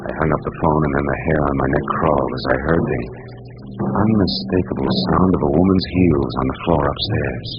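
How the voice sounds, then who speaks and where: A man playing a wry, weary American private detective in vintage radio noir style, with terse, deadpan, dramatic delivery. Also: extremely low pitch, brisk, background noise. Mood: wry, tense narration. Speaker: narrator and lead of a detective serial. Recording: muffled vintage radio recording.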